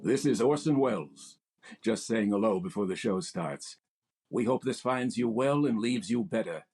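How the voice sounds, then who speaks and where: An actor playing a formal, smooth-talking male radio showman hosting a program. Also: on the low side, expressive, normal speed, a little mellow, soft background music. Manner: smooth formal announcer delivery. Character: grand, resonant male radio showman host. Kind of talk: old-time radio comedy recreation.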